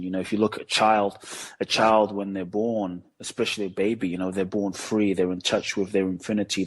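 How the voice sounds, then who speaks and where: Man, 40s, podcast guest, low voice, flat delivery, reflective, fillers. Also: breathy edge. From Ghana, raised in London. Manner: reflective, measured, many fillers and restarts. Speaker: guest, spiritual teacher. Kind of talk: podcast interview storytelling.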